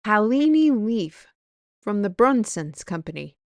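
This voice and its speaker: A woman's voice: medium pitch, sing-song, unhurried, no echo. Woman doing a chatty wry mage lady, theatrical, takes her time, dry recording, fantasy audio rp. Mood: awed, wistful. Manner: theatrical, wry, musing aloud. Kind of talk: fantasy audio roleplay acting.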